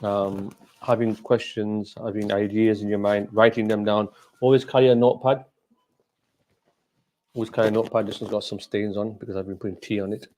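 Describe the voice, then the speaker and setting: A middle-aged man whose mother tongue is Gujarati, talking informally as a scholar answering questions, in a halting, reflective way that repeats phrases. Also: on the low side, little pitch movement, darkish tone. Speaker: Islamic scholar hosting a question and answer session.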